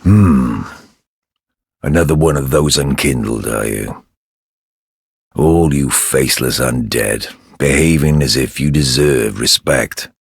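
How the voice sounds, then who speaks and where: A man playing a surly, contemptuous knight in a dark fantasy game, with a gravelly voice and a sneering, condescending drawl. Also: slow, dry recording, clean studio sound, heavily acted. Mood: scornful menace. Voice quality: very deep, gravelly. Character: surly, contemptuous, menacing knight guarding a girl.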